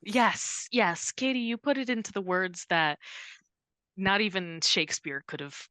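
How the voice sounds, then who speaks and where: A woman's voice: medium pitch, animated, a little slow, a bit bright, no echo. A woman co-hosting a casual comedic book chat, playful and jokey, with some swearing. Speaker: podcast co-host.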